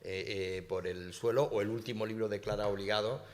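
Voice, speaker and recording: Low-voiced male moderator in a hall. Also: fairly even intonation, a little fast. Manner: prompting, addresses panelists by name. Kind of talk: moderating a round-table discussion. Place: lecture hall at a public panel. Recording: reverberant hall.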